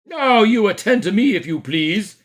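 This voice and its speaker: A man's voice: sits high, sing-song, fairly bright tone, pitched above the performer's own voice. A man voicing a stern, self-important police constable, drawing out his words. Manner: stern, drawn-out and pompous.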